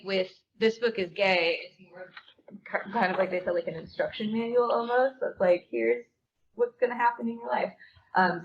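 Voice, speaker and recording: A woman answering audience questions casually as a theater performer on a panel, chatty and informal, often saying "like". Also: mid-range voice. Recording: dull room recording with clear background noise.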